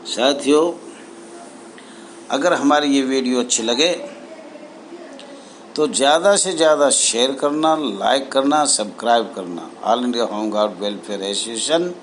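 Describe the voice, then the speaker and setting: A man with a medium-pitched voice speaks as an association leader to members. He reads from documents and comments on them, breaking his sentences into short, deliberate phrases. Faint noise sits behind his voice. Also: low-quality recording. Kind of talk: advocacy video address, reading out documents and commenting. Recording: steady background noise.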